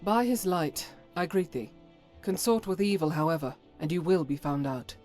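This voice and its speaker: A woman's voice: on the low side, moderate pace, darkish tone, lightly acted. Actress doing a righteous stern lady cleric in a game, formal and solemn, some music behind. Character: zealous, stern female cleric, devout and unyielding. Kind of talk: video game voice acting.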